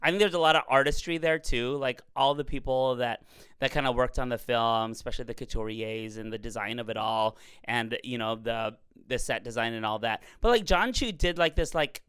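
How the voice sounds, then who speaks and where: A man with a cold, his voice slightly raspy and hoarse yet still smooth and clean. He chats in a campy, lively way with quick asides. The sound is dry and close. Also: medium pitch, no room sound. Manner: chatty, campy, fast asides. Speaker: podcast host. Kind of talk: casual chat.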